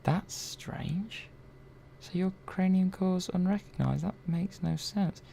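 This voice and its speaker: A man playing a curious, gently chatty robot repair technician in a soft-spoken ASMR roleplay, murmuring and thinking aloud in an unhurried, hesitant way. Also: high voice, gravelly, exaggerated voice. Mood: curious, puzzled. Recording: faint background noise.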